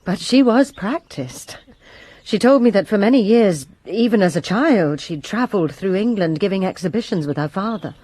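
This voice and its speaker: A woman's voice: mid-range voice, lively intonation, moderate pace, dark tone, no room sound. A woman playing a resentful lady's maid who gives her testimony with bitter emphasis in a radio drama.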